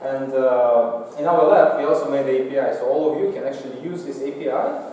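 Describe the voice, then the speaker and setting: A male lecturer explains technical slides in halting, non-native English. His voice is mellow and sits far from the microphone in a heavily reverberant hall. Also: medium pitch. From Singapore. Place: lecture room, indoors. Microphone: far from the mouth, room pickup. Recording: echoey hall, distant mic, faint noise.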